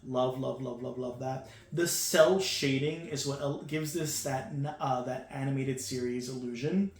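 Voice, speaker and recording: A man's voice: mid-range voice, fairly even intonation, unhurried. A man giving casual, enthusiastic unboxing commentary, gushing over each piece with lots of fillers. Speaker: toy reviewer. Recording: echoey room with faint hum.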